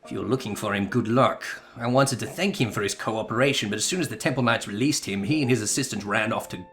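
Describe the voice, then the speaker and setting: A man voicing a weary, wry knight investigator from a video game, speaking in a measured, dry, formal manner. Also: on the low side, fairly bright tone. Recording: music behind.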